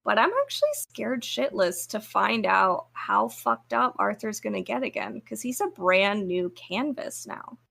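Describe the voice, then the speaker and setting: A woman's voice: medium pitch, expressive, measured pace, gravelly, hardly any pauses, fairly bright tone. A woman co-hosting a podcast in casual, informal fan chat. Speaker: podcast co-host.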